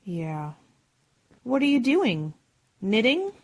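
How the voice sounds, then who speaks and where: A woman's voice: a little high, big pitch swings, slow pace, a little mellow, full character voice. A woman playing a breezy, gossipy best friend in an old-time radio sitcom, arch and dry-witted. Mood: arch, chummy.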